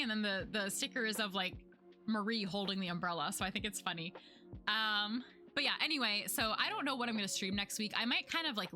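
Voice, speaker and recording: A young woman streamer chatting casually to her viewers, full of "like" fillers and quick laughs, her voice getting worn out. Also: medium pitch, pushed and bright. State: losing her voice. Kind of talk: casual chat with live chat.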